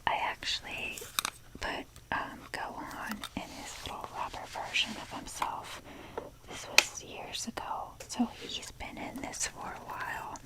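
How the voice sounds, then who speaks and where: A woman speaking in a soft breathy whisper, rambling casually and hesitantly with wry, self-deprecating humour in an ASMR show-and-tell style. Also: a little slow, rough recording. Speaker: ASMR video creator showing a toy collection.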